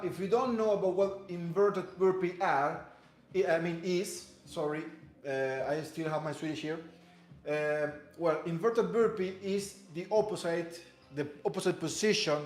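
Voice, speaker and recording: Man, Spanish accent, fitness coach, slow halting delivery, echoey room, faint music. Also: fairly high pitch. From Cuba. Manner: halting, self-correcting, encouraging. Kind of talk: workout instruction.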